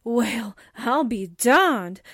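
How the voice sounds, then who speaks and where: An actress playing an excitable, wisecracking female sidekick in 1940s-style radio drama. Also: on the high side, very slow, no room sound, clean studio sound, exaggerated voice. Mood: wry. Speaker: voice actor.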